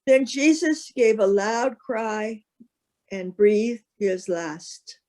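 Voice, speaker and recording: A woman's voice: fairly high pitch, very slow, warm tone. Woman reading scripture as narrator. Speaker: scripture reader.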